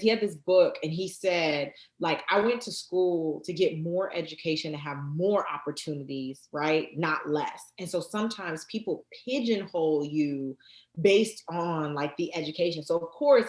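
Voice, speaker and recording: A woman guest in a casual interview, talking with lively energy, many fillers and quick restarts. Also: low-pitched, echoey room. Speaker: guest, card deck creator.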